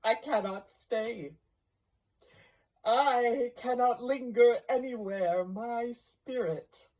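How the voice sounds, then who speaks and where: A woman voicing a mournful, grave male ghost in chains from a storybook, declaiming in slow, drawn-out wails. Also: on the high side, warm tone, voice pushed up.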